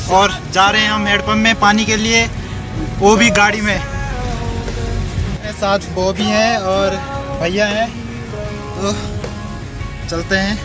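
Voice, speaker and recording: A young man from the hills of northern India, narrating a casual travel vlog on a phone held at arm's length outdoors and in a car, talking chattily to viewers. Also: sits high. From Uttarakhand hills, India. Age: young adult. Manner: chatty, addresses viewers directly. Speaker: vlogger. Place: outdoors on hill roads and in a car. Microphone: handheld phone camera at arm's length.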